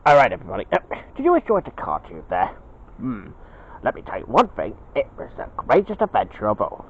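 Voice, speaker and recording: A man's voice: medium pitch, wildly swooping pitch, brisk, vocal fry, slightly rough, full character voice. A slightly muffled home recording of a man playing a cartoon dad bear. He is patient and encouraging, murmuring along with hums and hesitations, his intonation moving freely. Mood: chatty, reflective. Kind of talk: homemade cartoon dialogue.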